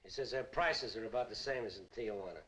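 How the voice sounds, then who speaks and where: An actor playing a plain-spoken captive who relays orders matter-of-factly, in 1950s film style. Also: male voice, lowish voice, fast, a bit bright, lightly acted, poor audio. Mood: controlled, tense.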